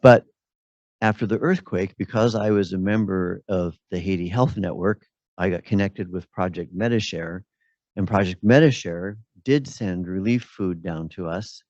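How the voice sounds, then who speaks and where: A man with a very low voice shares remarks at a symposium, thinking aloud as he goes. His speech is unscripted and halting. The sound is dry and dull, with a lo-fi finish. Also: a little mellow, no room sound. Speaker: birth center operator, symposium participant. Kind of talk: spontaneous comment at a symposium. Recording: dull lo-fi audio.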